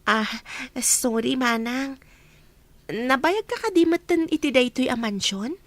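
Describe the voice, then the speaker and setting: A high, girlish-pitched young housemaid played by a woman with an Ilocano accent. She is polite, eager and full of questions, in the manner of a village radio comedy. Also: lively intonation, a little slow, no echo. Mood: curious. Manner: polite, inquisitive. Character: young female new housemaid from the province, polite, curious, eager.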